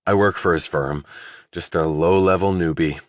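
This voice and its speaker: A man narrating a thriller audiobook, reading scripted prose and character dialogue in a deep voice. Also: steady tone, moderate pace, no room sound, studio quality.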